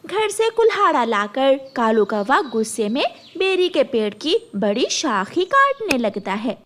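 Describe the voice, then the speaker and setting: A woman narrating a scripted children's story in an expressive, deliberate way. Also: high-pitched, noisy background.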